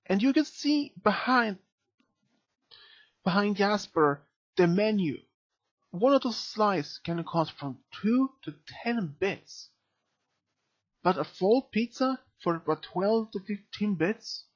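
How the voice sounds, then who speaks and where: Slow, halting reviewer with lively pitch swings. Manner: halting, searching for words. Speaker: video reviewer. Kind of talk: unscripted review commentary. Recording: dull, lo-fi mic sound.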